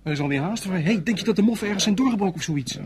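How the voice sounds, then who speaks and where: An actor playing a young, ambitious soldier in a Dutch radio play, chatty and inquisitive. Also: male voice, high voice, animated, racing, heavy background noise. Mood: casual, curious.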